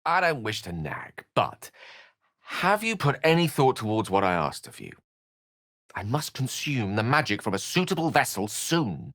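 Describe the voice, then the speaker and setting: A man's voice: medium pitch, wildly swooping pitch, normal speed, a bit bright, dry recording, full character voice. A man voicing an eloquent, self-assured wizard in a fantasy role-playing game, speaking articulately in ornate, theatrical phrases with dry wit. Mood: dry, pointed. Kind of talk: theatrical game dialogue in short separate lines.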